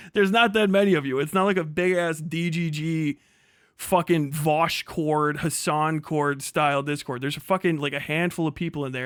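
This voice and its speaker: An agitated man delivers a profane livestream rant in a voice a little higher than most men's. The sound is dry and close, and he speaks vehemently, moving between reacting and reading messages aloud. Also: fairly bright tone, clean tone, dry recording. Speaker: livestream host.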